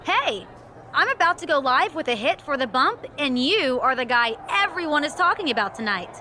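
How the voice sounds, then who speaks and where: An eager backstage wrestling interviewer in a video game. Her high, animated voice is chatty and bright. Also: normal speed, a little hiss or hum, full character voice. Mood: upbeat. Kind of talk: cinematic sports game dialogue.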